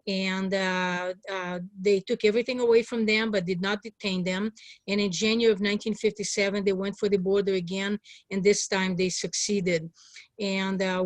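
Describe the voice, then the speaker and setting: A woman in her sixties, from Hungary, speaks as a political guest in an interview. Her voice is mid-pitched, with very little room sound around it. She gives personal testimony in an earnest, emphatic, pleading way. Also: dry recording. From born in Hungary. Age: 60s.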